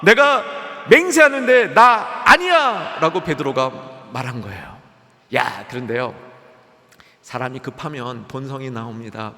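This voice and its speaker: A man preaching a sermon as a pastor, lively and dramatic, acting out the voices in the story he tells. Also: mid-range voice, gravelly. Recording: long hall reverb.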